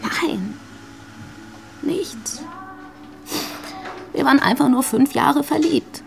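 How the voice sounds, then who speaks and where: An actress playing a bitter, grieving young pregnant girlfriend in a naturalistic radio drama, speaking haltingly and emotionally. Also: sits high, vocal fry, full character voice. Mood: wounded, defiant. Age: young adult.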